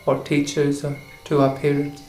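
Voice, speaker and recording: A man guiding a meditation for relaxation and sleep, speaking in short, halting phrases. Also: a little high, monotone, darkish tone. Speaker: meditation guide. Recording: roomy echo with faint insect noise.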